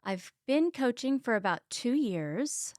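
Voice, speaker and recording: A woman's voice: a little high, very animated, slow, darkish tone, dry recording, clean studio sound. A Texan woman in her thirties, chatting casually as a health coach guest, with lots of ums. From Texas. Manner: chatty, many ums, laughs at herself. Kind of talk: casual interview chat.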